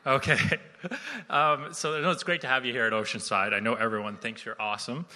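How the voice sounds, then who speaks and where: A man's voice: mid-range voice, steady tone, brisk, sharp tone, a little hiss or hum. A man hosting a casual church interview on a handheld mic in a sanctuary, upbeat and joking. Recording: long hall reverb in a church.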